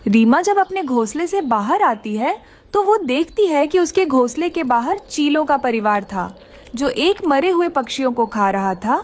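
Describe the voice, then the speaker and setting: Woman narrator for children's tales: high-pitched, very expressive melody, scripted storytelling. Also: normal speed.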